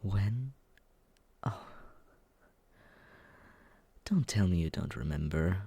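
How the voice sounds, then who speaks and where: A smug, mocking young man, played by a young man leans close and whispers his teasing in a slow, unhurried drawl. The voice is low and gravelly, with a breathy, husky edge. He sounds condescending but quietly looks after the listener, all in soft close-mic ASMR. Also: animated, heavily acted. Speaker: ASMR roleplay performer.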